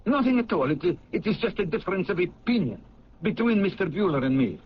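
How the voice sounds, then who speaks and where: An actor playing a proud, secretive factory owner who speaks in stiff, formal sentences. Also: male voice, high-pitched, sing-song, brisk, slight background noise. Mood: evasive. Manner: stiff formal speech, no contractions. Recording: dull lo-fi 1970s radio play.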